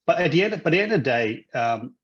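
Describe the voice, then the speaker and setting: A man's voice: a little high, very animated, quick pace, dull audio. A man from Hong Kong who grew up in Australia, a guest in a casual interview, who talks with many restarts and fillers. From Hong Kong, raised in Australia.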